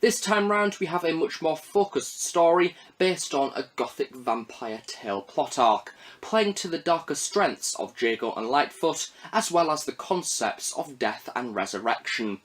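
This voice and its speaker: A male reviewer delivering scripted narration with emphatic, deliberate phrasing. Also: a little high, expressive, a bit bright. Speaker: reviewer, host of an audio drama review series.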